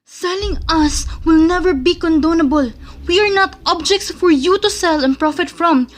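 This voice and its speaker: Young woman as a firm, earnest student, high-pitched. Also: normal speed. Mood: urgent. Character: determined young female student. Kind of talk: student radio drama acting.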